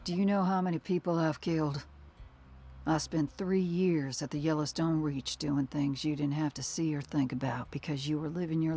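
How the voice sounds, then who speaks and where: Weary female militia veteran, husky voice, guarded, earnest, remorseful, video game drama, music behind. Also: deep voice, a little mellow, heavily acted. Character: battle-weary older sister and militia fighter, guarded, remorseful. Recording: music under the voice.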